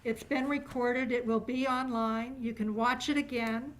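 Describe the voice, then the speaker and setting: A woman's voice: a little high, fairly even intonation, unhurried, rough recording. A woman hosting an event, giving informal closing remarks in an enthusiastic, encouraging way. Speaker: event host.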